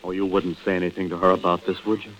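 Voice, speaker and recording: An American actor playing an evasive, nervous husband in a 1940s-style radio drama, hesitant and placating in manner. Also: male voice, sits low, monotone, fast talker, a bit bright. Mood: uneasy. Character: evasive, nervous husband caught cheating on his wife. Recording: muffled old radio drama recording.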